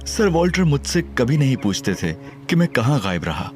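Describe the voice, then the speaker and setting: A man's voice: mid-range voice, very animated, moderate pace, a little mellow, soft background music, lightly acted. A man as a cocky, joking young detective. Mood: narrating. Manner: playful, teasing, theatrical. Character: cocky, joking young male detective and first-person narrator. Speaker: Ali Imran. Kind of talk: audio drama acting and narration.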